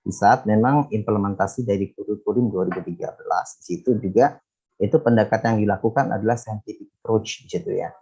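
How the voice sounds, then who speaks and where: Guy, middle aged teacher, low mellow voice, chatting, kinda dull lo-fi audio. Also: brisk, slightly rough. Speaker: teacher, education manager and author being interviewed. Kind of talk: casual explanatory chat with many fillers.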